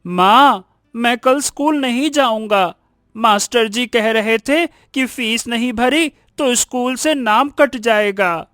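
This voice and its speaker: Very high-pitched excitable cartoon boy. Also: slow pace, dry recording, clean studio sound, faint music behind, heavily acted. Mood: fed up. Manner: exclamatory, repeats words emphatically. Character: excitable, stubborn poor village schoolboy. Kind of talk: Hindi cartoon moral story acting.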